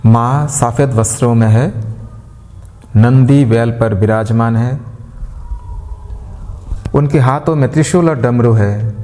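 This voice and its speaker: A man guiding a meditation as a yoga teacher, giving gentle instructions in unhurried phrases with pauses. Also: mid-range voice. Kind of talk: guided meditation with mantra chanting. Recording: long hall-like reverb.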